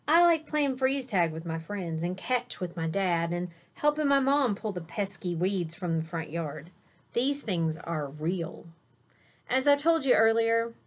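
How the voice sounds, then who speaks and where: A woman's voice: mid-range voice, lightly acted. A woman voicing a cocky schoolboy class clown from a storybook, boastful and emphatic.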